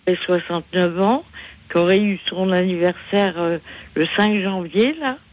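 A woman in her sixties phoning in to a radio show, talking haltingly with many fillers and pauses. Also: lowish voice, muffled recording. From born in Canada, raised partly in Africa. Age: 60s. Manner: hesitant, halting, many fillers, slow and sorrowful. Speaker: caller. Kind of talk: phone call to a radio show. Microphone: telephone.

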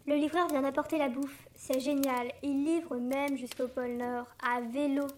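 An actor playing Santa's smug, eager young son, a start-up boss pitching in jargon in a comedic audio drama. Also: male voice, little pitch movement, moderate pace, a little hiss or hum. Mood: smug, enthusiastic. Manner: smug, jargon-laden, salesman-like patter. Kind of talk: comedic audio fiction acting.

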